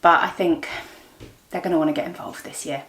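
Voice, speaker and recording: A woman's voice: on the low side, fairly even intonation, brisk, fairly bright tone. A middle-aged woman chatting casually to camera in an informal, rambling vlog style, often thinking aloud. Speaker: vlogger and host of a daily family and craft vlog. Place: indoors at home. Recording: roomy, echoey indoor room.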